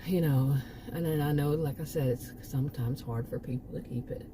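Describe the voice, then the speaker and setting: A woman's voice: low voice, normal speed, noisy background. Rambling Texan woman talking casually. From Texas. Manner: rambling, many restarts and asides. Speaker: channel host. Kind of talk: casual monologue.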